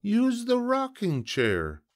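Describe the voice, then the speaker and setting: Male reader as young boy, earnest, exasperated big brother, raised pitch, storybook character. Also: wildly swooping pitch, slow, exaggerated voice.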